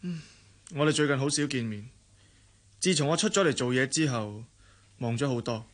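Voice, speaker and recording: An actor with a Hong Kong Cantonese accent playing an easygoing young adult elder brother in a radio drama, wry and reflective. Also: male voice, mid-range voice, expressive, normal speed, close to an everyday voice.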